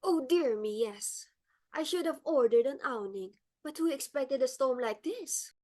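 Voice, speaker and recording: Society hostess played by a woman, middle-aged, high voice, determined, fussy, exclamatory stage-play delivery. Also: expressive, measured pace, a little mellow. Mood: brisk, bossy. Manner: dramatic, bossy, exclamatory.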